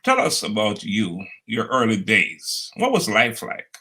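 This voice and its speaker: A male host opening an interview show with formal, polished phrasing. His pitch moves lively up and down, and his tone is bright and forceful. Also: a little slow. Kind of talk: scripted interview introduction.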